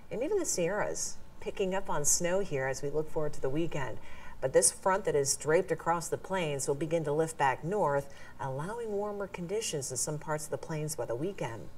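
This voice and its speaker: A woman's voice: low voice, darkish tone. An American woman delivering a broadcast weather forecast as a TV meteorologist. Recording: distant replayed broadcast, faint noise.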